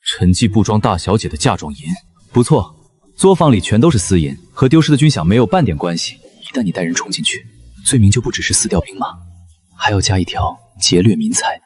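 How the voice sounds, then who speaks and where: A man playing a proud, sharp-tongued nobleman in an animated ancient court drama. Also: on the low side, a little fast, slightly rough. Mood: grave, commanding. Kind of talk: Chinese animated period drama acting and narration.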